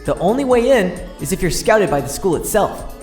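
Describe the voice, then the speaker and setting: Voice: medium pitch, sing-song, a little fast, a little mellow, lightly acted. Actor as teenage schoolboy, earnest, dramatic first-person narration, video game fan dub, roomy sound, faint background music. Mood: earnest, wistful. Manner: earnest, dramatic, inner-monologue delivery. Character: earnest teenage boy student narrating his predicament. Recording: roomy echo, music underneath.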